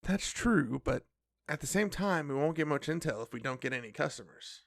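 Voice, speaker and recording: An actor playing a cautious, nervous magical companion in an audio drama, creaky and admonishing. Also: mid-range voice, animated, moderate pace, dry recording, clean studio sound. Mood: doubtful. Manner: wary, admonishing.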